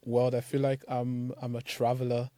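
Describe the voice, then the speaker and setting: A young man from Nigeria answers interview questions in a loose, animated way, with many fillers. His voice is mellow and middle in pitch. The sound is dry and close. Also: moderate pace, dry recording. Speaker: startup founder, guest. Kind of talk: casual interview talk.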